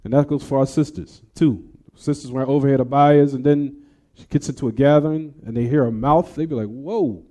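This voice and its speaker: A man's voice: medium pitch, fairly even intonation, brisk, mellow. An American man teaching an Islamic lesson, explaining emphatically, repeating and rephrasing his points and speaking directly to his listeners. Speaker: religious teacher. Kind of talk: Islamic lesson, reading and explaining a text, then taking questions.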